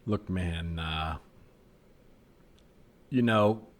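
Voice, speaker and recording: Male improviser as sceptical, easygoing forensic scientist. Lowish voice, patient, hesitant, for a comedy podcast sketch. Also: very slow. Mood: reluctant.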